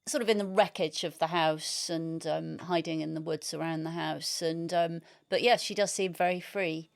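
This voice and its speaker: A woman's voice: sits low, fairly even intonation, normal speed, creaky. A woman interviewer discussing a book conversationally, a little tentative, repeating words as she thinks.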